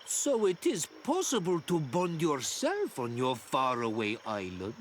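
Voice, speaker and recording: An actress playing an enigmatic, forgetful old island hermit woman in a video game, musing in halting phrases. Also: sits low, wildly swooping pitch, slight background noise, heavily acted. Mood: curious, wary. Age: older. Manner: slow, cryptic, musing, halting. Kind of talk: video game character acting.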